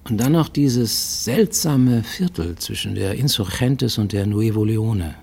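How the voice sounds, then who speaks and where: A man's voice: on the low side, measured pace, gravelly, a little mellow, breathy edge, full character voice. An actor playing a wary, greying Japanese man in hiding abroad, confiding in a formal, insistent way in a radio play monologue. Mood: amused, probing. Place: studio radio production.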